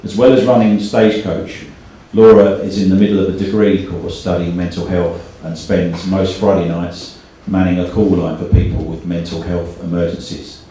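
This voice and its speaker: A man's voice: low voice, flat delivery, moderate pace, few pauses, mellow. A man hosting a live event, giving an earnest, slightly hesitant introduction speech in an indoor room. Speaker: event host. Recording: echoey room, distant mic, faint noise.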